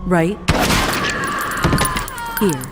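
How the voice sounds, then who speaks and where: A woman playing a stern, exasperated mother, scolding in a sharp, threatening way in an audio drama. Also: lowish voice, extremely slow, loud music behind the voice. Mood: angry, scolding.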